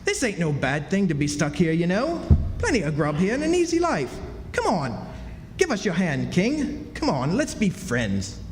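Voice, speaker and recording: A man's voice: sits high, huge pitch swings, normal speed, slight background noise. A man playing a theatrical, self-pitying con man who poses as a duke, speaking in florid declamation in a stage radio drama. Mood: scheming, chummy. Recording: echoey hall stage performance, music behind.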